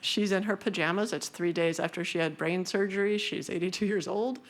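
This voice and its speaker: Woman, conference talk, chatty, self-deprecating, low-set pitch. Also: fairly even intonation, brisk. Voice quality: high-pitched voice. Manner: casual, self-deprecating, many fillers. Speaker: conference speaker.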